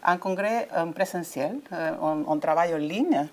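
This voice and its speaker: A woman's voice: fairly low pitch, moderate pace, darkish tone. A woman speaking French as a second language, giving an interview at a conference in a hesitant way, with many fillers and restarts. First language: not French. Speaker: ambassador of a coaching federation.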